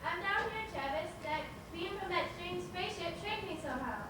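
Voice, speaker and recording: A high, young-girl voice acted by a woman, cheeky and quick to panic. It is children's stage play acting, picked up at a distance with room echo and faint noise. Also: lively intonation, pushed and bright, heavily acted. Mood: alarmed. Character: young girl, mischievous, cheerfully mean, easily frightened.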